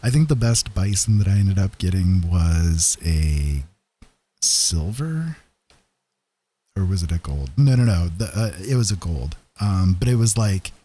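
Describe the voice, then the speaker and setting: A man with a very low, slightly rough voice talks casually to his stream viewers. His delivery is easygoing and rambling, with frequent halts, and his tone stays dark and mellow. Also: lively intonation, measured pace. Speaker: live streamer, digital painter and storyteller.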